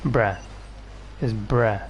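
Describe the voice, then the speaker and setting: A man's voice: mid-range voice, very slow, a little hiss or hum, rough recording. A man giving casual, slangy, grumbling commentary as he plays a video game. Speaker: gaming streamer.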